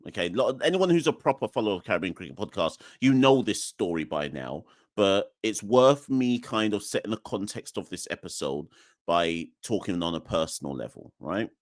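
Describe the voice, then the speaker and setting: A man's voice: low-pitched, animated, a little fast, dry recording, clean studio sound. A man from Jamaica hosting a cricket podcast in a casual, emphatic way, with lots of fillers and tag questions. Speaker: podcast host. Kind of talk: casual solo podcast talk.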